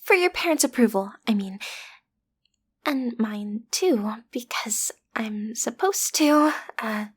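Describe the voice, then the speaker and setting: A woman's voice: a little high, expressive, takes it slowly, husky, heavily acted. A woman playing a cute, easily flustered teenage villain's daughter in an audio roleplay, stammering earnestly as she tries to seem intimidating. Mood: awkward, earnest.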